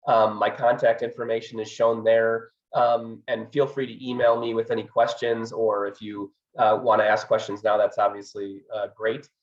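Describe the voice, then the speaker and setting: A man giving a research talk as an engineering professor, hoarse from a cold, speaking hesitantly with many fillers. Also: on the low side, flat delivery, normal speed, few pauses, highs cut off. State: hoarse, getting over a cold.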